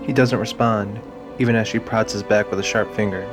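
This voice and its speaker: A man's voice: fairly low pitch, fairly even intonation, fairly quick. A man reading scripted, dramatic narration for an audio drama. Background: music.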